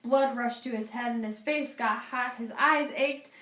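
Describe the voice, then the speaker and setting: A woman reading a children's picture book aloud as a teacher, with a deliberate, dramatic storytelling delivery. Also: a little high, sharp tone. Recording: echoey room.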